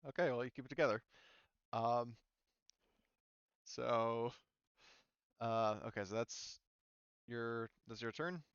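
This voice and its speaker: A man's voice: medium pitch, takes it slowly, long pauses, clean tone, no echo, muffled recording. A man narrating a tabletop game as game master, explaining rules casually and thinking aloud hesitantly.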